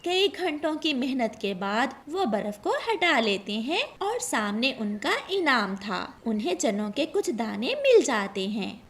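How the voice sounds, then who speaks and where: A woman narrator with a high voice and animated intonation. She tells a children's story at an unhurried pace.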